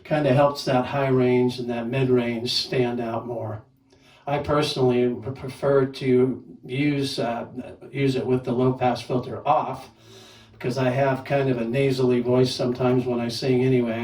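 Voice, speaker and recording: A man's voice: medium pitch, level tone, normal speed, a little mellow, roomy sound, distant mic, poor audio. A man casually reviewing a microphone, talking plainly and counting off mic tests. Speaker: gear reviewer. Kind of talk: casual product demo with mic checks.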